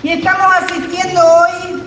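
A woman with an Argentine accent giving a measured political speech at a conference, repeating phrases for emphasis. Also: very high voice, level tone, fairly bright tone, muffled recording. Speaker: parliamentarian speaking at a conference. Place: large conference hall. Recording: roomy echoing hall, faint noise.

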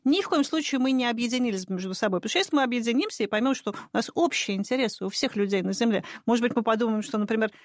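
A woman in a casual talk-show conversation, speaking in a brisk, indignant and animated way. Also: on the high side, no room sound, studio quality.

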